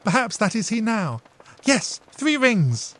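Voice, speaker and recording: A poised, warm-hearted elder sister, as a male reader puts her on, teasing and exclaiming in a high, lively voice that leaps up and down. She stays dignified even when she scolds. It is a storybook voice for an audiobook. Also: takes it slowly, heavily acted. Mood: reasoning.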